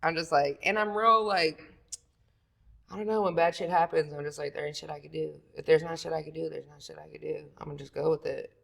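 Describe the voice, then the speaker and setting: A woman with a deep, raspy, sleepy-sounding voice, talking about singing as a podcast guest in loose, profane casual chat. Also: steady tone, a little fast. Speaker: singer guest.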